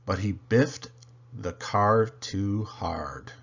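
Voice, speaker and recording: A man reading a children's story aloud in an expressive, dramatic, deliberate way. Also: fairly low pitch, husky, lo-fi sound. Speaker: storybook reader.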